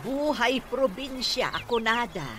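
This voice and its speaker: A woman playing a warm, teasing middle-aged provincial aunt in an Ilocano radio comedy drama. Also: a little high, animated, unhurried. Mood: fond, teasing. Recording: noisy radio drama with ambience.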